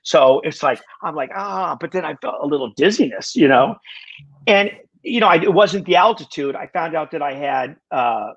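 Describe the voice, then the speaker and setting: Halting, husky-voiced man in his sixties. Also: fairly high pitch, animated, fairly bright tone, lo-fi sound. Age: 60s. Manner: halting, full of restarts, wry self-deprecating humor. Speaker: podcast guest. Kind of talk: casual conversation.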